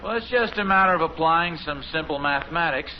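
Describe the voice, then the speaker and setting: Actor as animated fumbling American dad, old radio. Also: male voice, fairly high pitch, a little fast, lightly acted. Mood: patient, muddled. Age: middle-aged. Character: fumbling, boastful suburban dad, proud amateur handyman. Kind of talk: 1950s radio sitcom acting. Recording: noisy, muffled 1950s radio recording.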